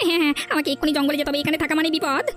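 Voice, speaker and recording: A woman's voice: sits high, little pitch movement, racing, fairly bright tone, faint music behind. A woman voicing a bold, grateful bandit man from a cartoon folktale, with an urgent, dramatic manner. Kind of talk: Bengali cartoon folktale voice acting.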